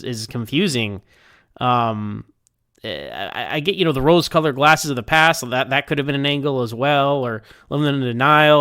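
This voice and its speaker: Man, mid-range clear voice, for informal episode commentary; conversational, meandering delivery, very dry sound. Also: medium pitch, a bit bright, no room sound. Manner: conversational, hedging, thinking aloud. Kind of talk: casual rambling TV episode review.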